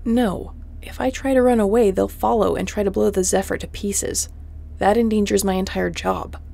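A woman playing a tough, wry, protective space mercenary captain in a sci-fi audio roleplay, terse and commanding, often hushed. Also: mid-range voice, steady tone, normal speed, creaky. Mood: wry, resigned.

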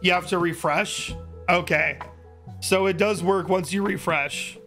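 Hoarse, high-pitched male streamer. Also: fairly even intonation, measured pace, pushed and bright. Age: early thirties. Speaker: live streamer. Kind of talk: casual livestream chat. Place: indoor home streaming room. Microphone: close boom-arm microphone. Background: music.

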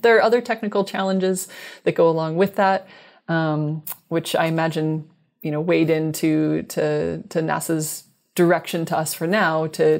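Low-pitched woman explaining technical science. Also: a little mellow. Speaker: guest scientist, exoplanet researcher. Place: small indoor room, video call. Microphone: wired earbuds headset on a video call.

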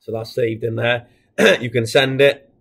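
A man in his thirties giving a casual software tutorial, explaining each step in a plain, informal way as a product demonstrator. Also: medium pitch, fairly even intonation, measured pace, creaky, breathy edge. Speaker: product demonstrator for a security equipment supplier.

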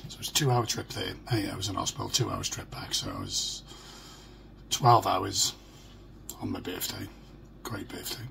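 A middle-aged British man with a hoarse, croaky voice, talking haltingly and in a downbeat way in a casual personal video diary. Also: deep voice, huge pitch swings, a bit bright. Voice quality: croaky, gravelly. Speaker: vlogger. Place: small furnished room at home. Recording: noisy home phone or webcam recording.

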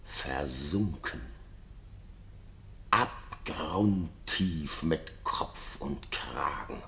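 A man's voice: a bass voice, lively intonation, drawn-out words, bright, forceful tone, exaggerated voice. An actor playing a jealous, paranoid king in a 1960s German radio play, brooding and theatrical, moving between muttering and outbursts. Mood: bitter brooding.

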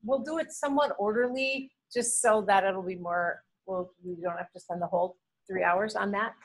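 A middle-aged woman leading a seminary class, friendly and animated, speaking to a camera on the table in a large classroom. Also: medium pitch, moderate pace, poor audio. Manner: friendly, animated, gestures with hands. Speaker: seminary instructor. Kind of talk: classroom teaching and prayer. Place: large classroom with drop ceiling. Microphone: camera on the table, below the speaker.

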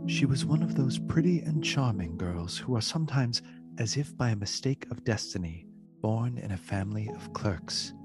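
A man acting as the solemn narrator of a gothic radio play, measured and formal. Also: mid-range voice, expressive, lightly acted. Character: solemn storyteller of a gothic tale. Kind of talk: dramatic literary narration. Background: music.

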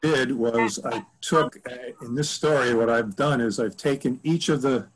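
A man in his seventies reminiscing casually on a video call from home, rambling and halting as he corrects himself. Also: medium pitch, slightly rough, poor audio. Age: 70s. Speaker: author answering audience questions. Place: room at home. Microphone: computer mic on a video call.

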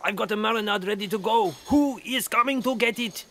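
Frantic male head chef, acted by a man, high-pitched, creaky voice, barking orders, children's audio drama. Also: brisk, pushed and bright, slight background noise. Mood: frantic, bossy. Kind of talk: children's audio story acting.

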